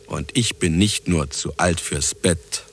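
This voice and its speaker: An actor playing an aging, literate Nobel laureate, wry and doubting, in a radio drama. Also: male voice, deep voice, slow, a little hiss or hum. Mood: sceptical, wounded. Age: older.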